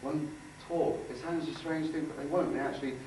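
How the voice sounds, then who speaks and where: A man giving an informal workshop talk, halting and conversational, repeating phrases for emphasis. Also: on the high side, steady tone, a little mellow. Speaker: workshop speaker and aura healer. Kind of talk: informal talk with demonstration and guided visualisation. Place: indoor room before a group. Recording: echoey room, distant mic, faint noise.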